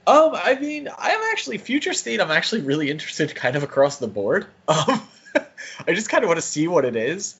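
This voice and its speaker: A man's voice: on the high side, big pitch swings, a little fast, a bit bright, slightly rough, dull audio. A man co-hosting a podcast in casual chat, with an easygoing manner and joking asides. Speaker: podcast co-host.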